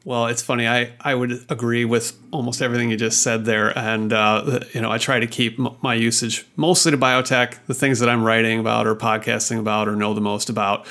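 Casual podcast host, stumbling questions. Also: male voice, mid-range voice, flat delivery, a little fast, few pauses, pushed and bright. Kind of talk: podcast interview.

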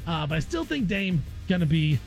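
A man's voice: fairly high pitch, very animated, normal speed, darkish tone, soft background music. An American man co-hosting a sports talk show, discussing basketball casually and with opinion, often repeating phrases for emphasis.

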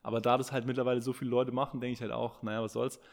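A man's voice: mid-range voice, flat delivery, very fast, creaky. A man co-hosting a podcast in casual chat, rambling with many fillers and restarts. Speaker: podcast co-host. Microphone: podcast microphone.

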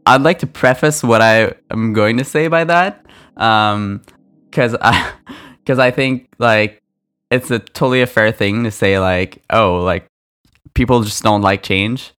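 A man's voice: on the low side, fairly bright tone, clear, resonant tone. A young man co-hosting a casual podcast, chatty and filling his talk with likes and ums. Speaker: podcast co-host, product designer. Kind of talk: casual chat.

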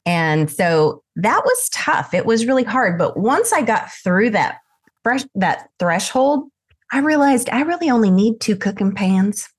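A woman's voice: mid-range voice, unhurried, slightly rough. An older woman from southern Idaho with a mixed American accent, chatting as an interview guest and telling her story with frequent laughs. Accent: mixed American, Idaho and Texas. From southern Idaho, USA. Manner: chatty, animated, laughs often. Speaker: guest, lavender farm owner.

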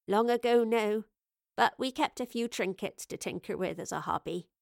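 A woman's voice: medium pitch, moderate pace, creaky, no echo, clean studio sound, close to an everyday voice. Woman voicing a homely, wistful old working-class woman. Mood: chuckling, dismissive. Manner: homely, colloquial, wistful speech. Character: Evie, weary kindly working-class old woman of far future.

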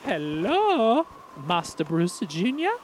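Woman as a lively, proper teenage student assistant. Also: medium pitch, slow, heavy background noise. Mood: polite. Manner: proper, formal, deferential. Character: polite, bespectacled student assistant, teenage, eager butler type. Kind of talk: comedic cartoon voice acting.

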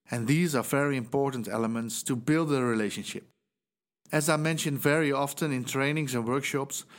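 Dutch-accented man hosting a podcast. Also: medium pitch. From Netherlands. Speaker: podcast host, fundraising trainer. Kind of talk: scripted solo podcast talk.

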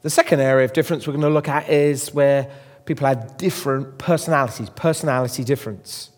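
A man's voice: mid-range voice, fairly even intonation, fast talker, big-room sound. A man presenting a marriage course segment, introducing the next topic to viewers. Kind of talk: presenting to an audience.

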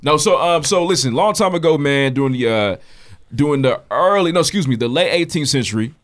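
A man's voice: fairly high pitch, lively intonation, brisk. A man co-hosting a podcast, telling a news story in loose slang with plenty of swearing. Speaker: podcast co-host. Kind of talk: casual chat.

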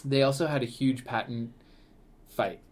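Man, podcast co-host, casual rambling chat, middle pitch, lots of fillers and laughs. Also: moderate pace. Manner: chatty and rambling, with frequent fillers, restarts and laughs. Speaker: podcast co-host, museum staff. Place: Canada.

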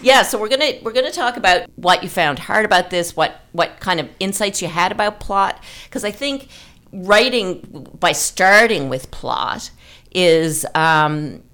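A woman's voice: medium pitch, animated, a little slow, fairly bright tone. A woman hosting a conversational literary podcast, explaining ideas and asking leading questions. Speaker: podcast host.

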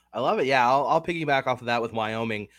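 A man with a head cold co-hosting a casual sports betting podcast, talking through picks in a measured, analytical way. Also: medium pitch, fairly bright tone, no echo. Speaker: podcast co-host.

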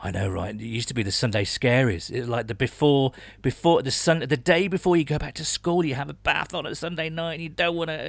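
A British man with a medium-pitched voice hosts a casual sports podcast. He rambles in a chatty way and corrects himself as he goes. The sound is dry, with no room around it. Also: vocal fry, fairly bright tone, no echo. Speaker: podcast host.

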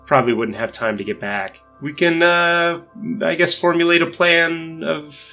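A man improvising in-character talk in a tabletop roleplaying game, measured and thinking aloud. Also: on the high side, bright, forceful tone, faint music behind.

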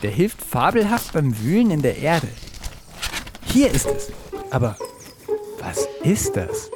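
Animated man in a children's audio play. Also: fairly high pitch, takes it slowly, over music. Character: himself turned into a reluctant pink pig. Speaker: podcast co-host.

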